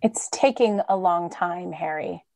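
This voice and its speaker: A woman's voice: lowish voice, unhurried, gravelly, background noise. A woman in her thirties playing an earnest, anxious idealistic wife in old-time radio drama style. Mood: doubting.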